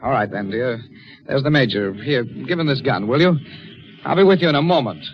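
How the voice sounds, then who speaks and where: Man acting animated young fiancé, old radio. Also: medium pitch, a little fast, lightly acted. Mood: concerned. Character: earnest, excitable young fiancé in a thriller. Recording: dull lo-fi old radio recording.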